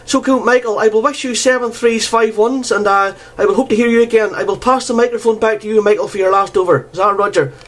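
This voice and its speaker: High-pitched Northern Irish radio operator man. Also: steady tone, a bit bright. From Northern Ireland. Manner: courteous, deliberate, repeats key phrases. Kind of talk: radio contact exchange with call signs and phonetic spelling.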